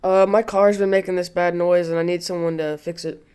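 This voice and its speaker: A man's voice: sits high, little pitch movement, brisk, noisy background. A man making a prank phone call, chatting with an earnest, clueless manner. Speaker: prank caller.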